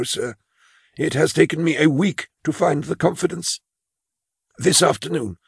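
A man's voice: on the high side, no echo, clean studio sound, full character voice. An actor playing a frail, elderly male clerk who is anxious and quavering, in an audio drama. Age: older. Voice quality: gravelly, husky.

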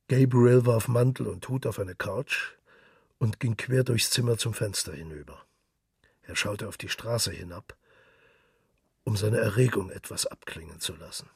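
A man reading literary narration for a radio drama in a hushed, intimate, gravelly and breathy voice. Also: lowish voice, no echo, clean studio sound, distant mic.

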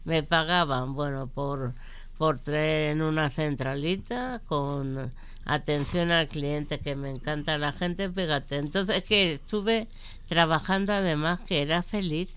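A woman in her seventies chatting on a podcast, talkative and quick to laugh. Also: low voice, clean tone. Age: 70s. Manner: chatty, warm-hearted, laughs often. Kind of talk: casual chat.

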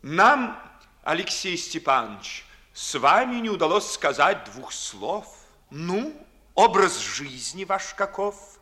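An actor playing a proud, witty young gentleman in a 1950s classical radio play, declaiming verse with sardonic emphasis. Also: high-pitched, sing-song, slow pace, full character voice. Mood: mocking.

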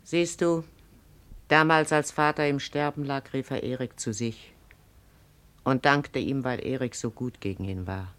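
An actress playing a proud, grave older farm mistress in a German radio play, speaking earnestly and deliberately, with quiet command. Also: female voice, very deep voice. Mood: earnest, confiding. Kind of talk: 1950s German radio drama acting.

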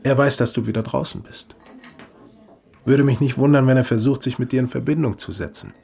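An actor playing a guarded acquaintance giving a confidential, matter-of-fact warning in a radio crime drama. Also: male voice, medium pitch, fairly even intonation, brisk, creaky, darkish tone, lightly acted.

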